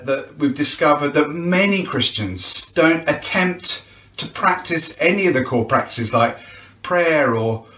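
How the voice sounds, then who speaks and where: Echoey, higher-pitched man presenting informally. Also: bright, forceful tone, far from the mic. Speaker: church event presenter. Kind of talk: informal promotional video announcement. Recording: echoey, roomy sound.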